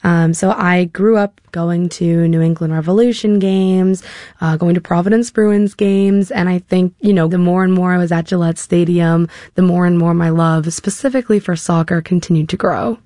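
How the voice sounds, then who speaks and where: A woman's voice: fairly low pitch, fairly even intonation, moderate pace, mellow, dry recording. A nineteen-year-old American woman giving conversational interview answers into a close studio microphone. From Massachusetts, United States. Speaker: interview guest, stadium public address announcer. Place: radio studio.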